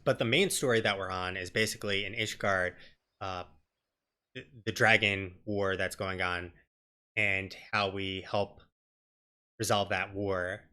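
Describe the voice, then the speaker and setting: A man's voice: low voice, steady tone, a little slow, pushed and bright. A man giving casual, informal commentary as he streams a video game. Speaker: let's play streamer.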